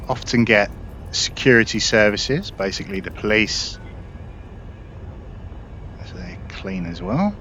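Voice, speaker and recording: A man's voice: fairly low pitch, animated, unhurried, long pauses, pushed and bright, faint music behind. A man giving casual, explanatory commentary over his video game play. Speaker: gaming video creator. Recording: dull audio, faint game noise. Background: spaceship game ambience.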